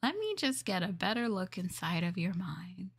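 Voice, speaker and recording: An affectionate slime girl, played by a woman, speaks intimately to a partner in an ASMR roleplay. The delivery is coy and doting, with playful curiosity and gentle teasing. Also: fairly low pitch, very animated, moderate pace, breathy edge, heavily acted. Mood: curious wonder. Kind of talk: ASMR roleplay script reading.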